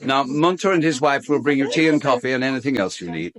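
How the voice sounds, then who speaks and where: An actor playing a fussy, courteous middle-aged English gentleman in a radio drama, speaking formally and hesitantly. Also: medium pitch, lively intonation, quick pace, close to an everyday voice. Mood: flustered announcing. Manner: hesitant, formal, well-spoken. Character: fussy, courteous gentleman host of an island castle. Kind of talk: British radio drama acting.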